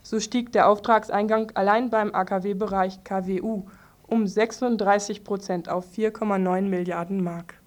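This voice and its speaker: Woman reading radio news, medium pitch. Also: steady tone, moderate pace, a little mellow.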